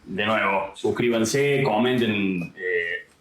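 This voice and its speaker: A man with an Argentine accent hosts a casual interview in a fairly low voice. The room is clearly echoey, with other voices audible behind him. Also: takes it slowly, crowd noise. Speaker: host.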